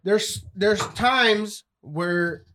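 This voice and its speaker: A married father in casual chat, teasing and halting, often rephrasing himself. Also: high voice, sharp tone. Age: adult, married father.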